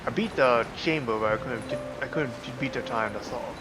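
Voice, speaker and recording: A man livestreaming a game with casual, halting commentary, thinking aloud as he plays. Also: medium pitch. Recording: loud game music behind the voice. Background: loud game music.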